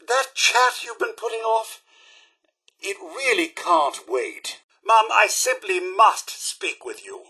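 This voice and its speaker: An actor playing a prim, loyal but disapproving robot butler in a video game, with a British accent and a formal, theatrical, fussy manner. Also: male voice, sits high, bright, forceful tone, breathy edge, exaggerated voice. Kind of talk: scripted game companion dialogue.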